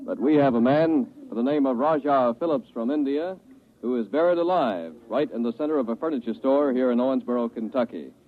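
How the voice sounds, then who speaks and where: A man's voice: medium pitch, fairly quick. A man reporting a curiosity item in scripted 1950s radio promo style. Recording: tinny phone-like radio line, slight noise.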